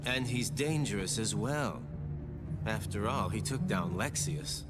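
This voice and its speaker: A man voicing a sly, flippant, casually scheming villain in a video game cutscene, with loud music behind. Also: fairly low pitch, animated, a little slow.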